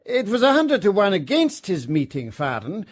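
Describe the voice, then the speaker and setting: High-voiced, fussy, courteous official. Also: male voice, wildly swooping pitch, moderate pace, heavily acted. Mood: courteous, querying. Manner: precise, formal, hesitant openings. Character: fussy, courteous legal official, politely doubting. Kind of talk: detective radio drama acting.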